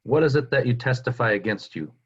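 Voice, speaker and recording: Through muffled, lo-fi call audio comes a stern high priest acted by a man. The voice is husky and mid-pitched, forceful and accusing, in a dramatic scripture reading. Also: animated, a little fast. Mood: demanding. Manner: forceful, demanding. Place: remote recording on a video call. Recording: dull lo-fi video call audio.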